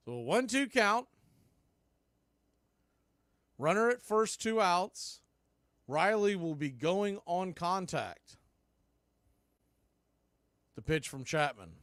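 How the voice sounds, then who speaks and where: A man doing radio baseball play-by-play, making steady calls mixed with conversational analysis. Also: high voice, slow, pauses often, no room sound, studio quality. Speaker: play-by-play announcer.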